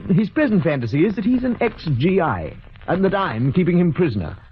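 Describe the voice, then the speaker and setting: An actor playing a calm, villainous doctor, polite and clinical, in 1940s radio drama style. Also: male voice, high voice, husky, heavily acted. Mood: clinical, measured. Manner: polite, measured, hesitant pauses, clinical. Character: calm, cold, calculating villainous male doctor, smugly scientific. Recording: muffled, noisy vintage radio recording.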